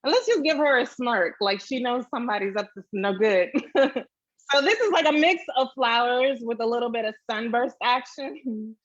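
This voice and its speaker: A woman with a high voice talks through a drawing step by step. She is informal and chatty, thinking aloud, in a dry and slightly dull recording. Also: normal speed, no echo. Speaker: illustrator demonstrating drawing. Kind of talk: casual step-by-step drawing tutorial.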